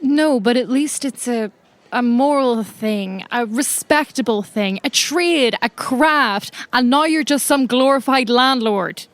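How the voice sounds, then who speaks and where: A woman's voice: on the high side, slow pace, dry recording. A woman playing a guarded, sardonic ex-lover in improvised roleplay, with a blunt, wry, deflecting manner. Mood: scornful. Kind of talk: improvised tabletop roleplay acting.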